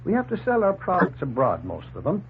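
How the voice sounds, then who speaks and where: An actor playing a hospitable, plain-spoken farmer in a 1940s radio drama, earnest and explanatory. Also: male voice, high voice, huge pitch swings, brisk, a little hiss or hum, heavily acted. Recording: tinny, humming 1940s radio recording.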